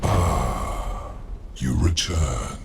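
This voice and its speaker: An actor playing a dark male demon informant in a video game, gravelly and deliberate as he explains. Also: a bass voice, very noisy, heavily acted.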